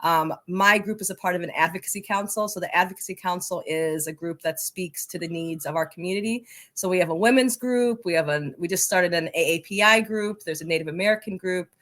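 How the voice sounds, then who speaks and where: A woman's voice: fairly low pitch, brisk, few pauses, fairly bright tone. An enthusiastic, talkative woman speaking emphatically as a guest in a conversational interview. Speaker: podcast guest, soccer coaching advocate.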